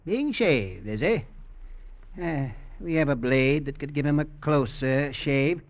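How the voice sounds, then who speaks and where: Sly, menacing old executioner, vintage radio. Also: male voice, on the high side, animated, takes it slowly. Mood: cold menace. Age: older. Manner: pointed, insinuating, mock-affectionate questioning. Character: menacing old executioner, possessive, slyly mocking husband. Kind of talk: 1940s-50s American radio drama acting. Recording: dull vintage radio recording.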